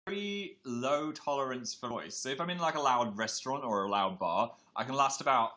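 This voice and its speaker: A man chatting on a livestream with a bright, lively voice that swoops up and down. He is a bit hoarse and easily amused. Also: mid-range voice, a little fast, a little hiss or hum. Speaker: co-host. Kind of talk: casual livestream chat.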